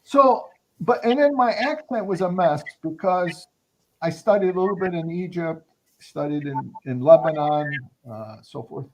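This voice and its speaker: An older man talks slowly through his ideas, his voice rising and falling in lively swings, with frequent restarts and fillers. The pitch sits a little high for a man, and a faint hiss and clicks run under the call. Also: poor audio. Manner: thoughtful, hesitant, many restarts and fillers. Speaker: guest anthropologist. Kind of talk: reflective conversational interview answers.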